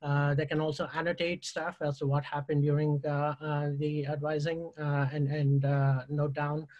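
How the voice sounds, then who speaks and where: A man talks through slides on a video call in a slightly high voice. He stops often on fillers and restarts his phrases as he goes. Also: flat delivery, darkish tone, lo-fi sound. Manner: halting, many "uh" fillers and restarts. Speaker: university tech presenter. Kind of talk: conference slide presentation.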